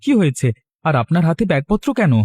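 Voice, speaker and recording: A man's voice: on the high side, animated, fast, darkish tone, slightly rough, no room sound. A man voicing a humble, honest young farmer in a cartoon story, with an earnest manner. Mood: bewildered, protesting.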